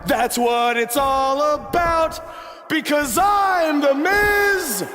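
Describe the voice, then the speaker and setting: An actor playing a wrestler who mockingly imitates a vain star, pompous and theatrical, in a video game. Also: male voice, very high-pitched, extremely slow, long reverb tail, loud music behind the voice, exaggerated voice. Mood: mocking, bombastic. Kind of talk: video game parody promo.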